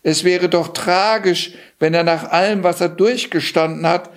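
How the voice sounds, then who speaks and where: A man's voice: high-pitched, steady tone, measured pace, voice pushed up. A man voicing a young princess who pleads sweetly with her father in a fairy tale. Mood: pleading. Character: young princess, smitten, giggly.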